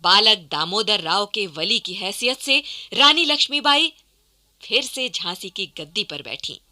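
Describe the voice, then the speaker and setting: A woman's voice: mid-range voice, expressive, measured pace, sharp tone. A woman narrating history from a script in a dramatic radio style.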